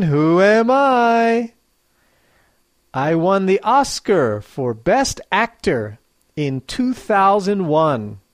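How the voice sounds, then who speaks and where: Very slow, deliberate quiz reader. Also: mid-range voice, no room sound. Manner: reads each clue twice, slowly and clearly. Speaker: English teacher. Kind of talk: slow quiz dictation for learners.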